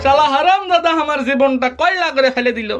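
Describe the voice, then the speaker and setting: A man's voice: very high voice, fairly quick, fairly bright tone, lo-fi sound, faint music behind. A man voicing a hot-tempered older village father-in-law in a comic cartoon, scolding and whining in a northern Bangladeshi accent. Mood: bitter ranting. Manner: scolding, swearing, whining in exaggerated despair.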